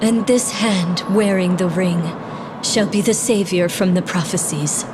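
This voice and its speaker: A woman's voice: on the low side, fairly even intonation, background noise, lightly acted. A woman playing a solemn, determined young sorceress princess in a dramatic fantasy video game. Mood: solemn resolve. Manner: dramatic, deliberate, incantatory. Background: music.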